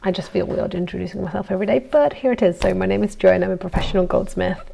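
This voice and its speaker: Chatty goldsmith woman with gravelly undertone. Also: medium pitch, fairly even intonation, very fast, warm tone. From Italy. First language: Italian. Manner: chatty, self-deprecating, with frequent ums and small laughs. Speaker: goldsmith streaming from her workshop. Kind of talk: casual live-stream chat while working.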